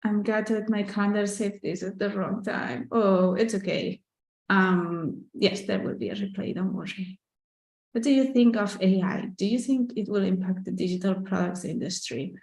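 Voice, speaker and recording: A woman's voice: medium pitch, normal speed, darkish tone, roomy sound, low-quality recording. A woman with a Catalan accent, a native Catalan speaker, hosting a casual live Q&A, chatty and candid, with lots of "like" and "you know" and small laughs as she reads out viewer questions. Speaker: artist and business owner hosting a live Q&A.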